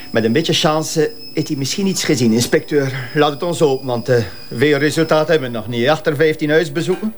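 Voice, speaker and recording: Folksy cop, highish swooping voice, Flemish dialect, exclamatory, radio drama, faint background noise. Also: male voice. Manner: colloquial, exclamatory. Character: folksy, easily amazed male police officer.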